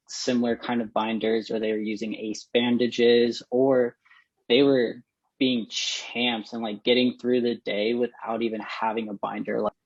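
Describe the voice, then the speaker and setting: A man of twenty-one who organized a fundraiser, speaking haltingly with many fillers in a casual interview. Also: vocal fry, breathy edge, dry recording. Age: 20s. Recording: dull, dry low-fi recording.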